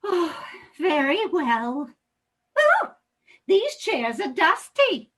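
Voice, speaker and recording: Actress as fussy old woman, very high voice, slow swooping delivery, timid, genteel, comic stage reading. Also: sing-song, fairly bright tone, heavily acted. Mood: fussy, fluttery. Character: fussy, timid, plump old woman, meek and easily upset. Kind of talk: comedic readers theater acting.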